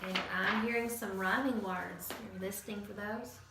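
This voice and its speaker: A woman teacher reading a picture book aloud to children in an expressive, sing-song storytelling manner. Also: mid-range voice, moderate pace, heavy background noise. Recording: echoey room from far off.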